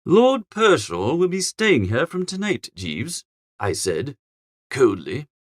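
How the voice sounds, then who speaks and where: A man playing a flustered, foppish young English gentleman who narrates a comic audiobook in a chatty, melodramatic, exasperated way. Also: medium pitch, huge pitch swings, takes it slowly, no echo, clean studio sound, heavily acted. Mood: agitated.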